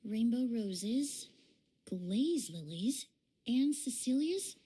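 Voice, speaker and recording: An actress playing a calm, composed woman in a video game, speaking softly and in a measured way. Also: on the high side, slightly rough, full character voice. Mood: calm, curious.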